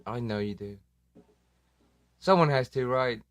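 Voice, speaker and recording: A man's voice: mid-range voice, lively intonation, unhurried, no echo. A man hosting a casual live stream, joking and self-deprecating, swearing now and then. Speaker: livestream host. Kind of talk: casual livestream chat.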